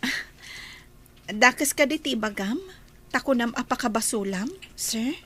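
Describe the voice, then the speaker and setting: Young radio drama heroine, demure and unsure, high clear-cut bright voice. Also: female voice, measured pace. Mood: flustered, guarded. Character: Miss Eva, demure hesitant young woman employee. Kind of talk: Filipino radio drama acting.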